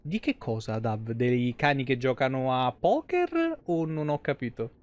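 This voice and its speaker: A man's voice: mid-range voice, big pitch swings, unhurried, a little mellow. A man, chatting casually and colloquially with viewers as he plays. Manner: colloquial, swearing. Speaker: game streamer. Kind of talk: casual live game commentary, chatting with viewers. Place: small room at home.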